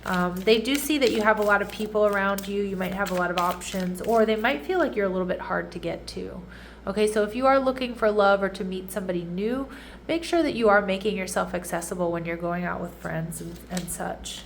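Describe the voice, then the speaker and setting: A woman with a stuffy, nasal voice talks through a tarot reading in a relaxed, chatty way, often checking in with her listeners. Her pitch sits in a middle range for a woman, and a faint hiss of background noise runs under her voice. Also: fairly quick, gravelly. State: congested, nasal. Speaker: tarot reader. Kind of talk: casual tarot reading monologue.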